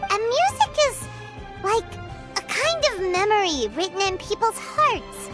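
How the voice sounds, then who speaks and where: Game fairy companion: tiny, squeaky, bubbly chatterbox with lively pitch swings, loud music behind. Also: female voice, a little slow, exaggerated voice. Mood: thoughtful. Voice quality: squeaky, childlike. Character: small chirpy childlike fairy companion, eager, talks of herself by name. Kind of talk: video game character acting. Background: loud tinkling game music.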